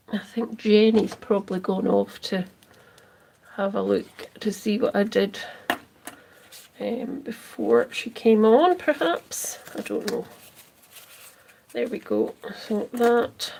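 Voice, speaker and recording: A woman's voice: mid-range voice, creaky, slight background noise. A Scottish woman hosting a casual crafting livestream, chatting with viewers and answering their comments as she works. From Scotland. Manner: slow, soft, drifting into near-whispers as she concentrates.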